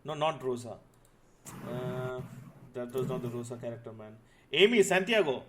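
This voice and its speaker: A man's voice: medium pitch, expressive, moderate pace, a crowd in the background. A man hosting a casual group chat as a podcast host. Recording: noisy, background room ambience.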